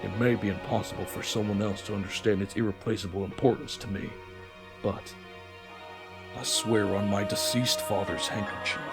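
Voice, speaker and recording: A man acts out a solemn anime villain president with a low, creaky voice. He declaims in measured, formal sentences, grave and persuasive, as a man bound by his word. Loud dramatic soundtrack music plays behind him. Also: fairly even intonation, close to an everyday voice. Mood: earnest, wistful. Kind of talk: dramatic anime fandub monologue. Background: dramatic orchestral soundtrack.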